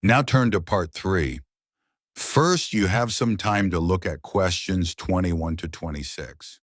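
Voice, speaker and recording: A man's voice: deep voice, takes it slowly, no room sound, studio quality. A man reading scripted test instructions as an exam announcer.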